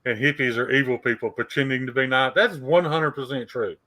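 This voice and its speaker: Southern US man, bright and forceful, easygoing co-host who argues back. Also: mid-range voice, fairly quick. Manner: laid-back, pushes back in debate. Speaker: podcast co-host. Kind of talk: casual chat.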